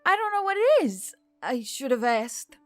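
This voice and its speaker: A woman's voice: high voice, very animated, moderate pace, voice pushed up. A woman voicing a perky, easily hurt elf waitress in an audiobook-style story, with music behind her. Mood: flustered, apologetic. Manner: perky teasing, stammers apologetically when upset.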